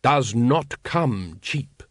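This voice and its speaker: A man's voice: medium pitch, expressive, no echo, clean studio sound. A man voicing a pompous, scheming cult leader in an audiobook, commanding and impatient, stretching words for emphasis. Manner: commanding, hissing, drawn-out emphasis. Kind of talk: audiobook character acting.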